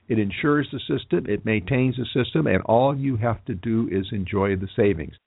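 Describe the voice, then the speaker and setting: A man hosts a live radio show, reading an ad and then chatting with musicians, his speech full of pauses and restarts. The sound is dry and tinny, like a narrow phone-band recording with no room around it. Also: mid-range voice, no room sound. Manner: halting, many fillers and restarts. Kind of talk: live radio interview and ad read.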